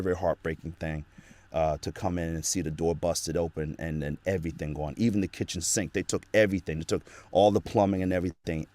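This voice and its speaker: A man's voice: low voice, warm tone, no room sound. A man telling his story in a casual interview, earnest and rambling with lots of fillers, into a home studio microphone. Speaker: guest, actor and voice actor.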